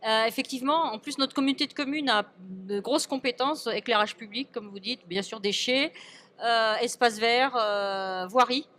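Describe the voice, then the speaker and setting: A woman speaking as an elected local official in an informal interview, halting and often repeating and restarting her words. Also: a little high, a bit bright. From France.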